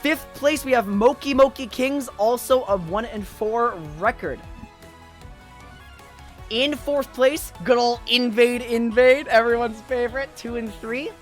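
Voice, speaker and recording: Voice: mid-range voice, unhurried, faint music behind. Livestream host with a tired, fading voice. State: losing voice after two days of talking. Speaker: tournament host and streamer caster. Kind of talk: casual esports livestream wrap-up, chatting with viewers.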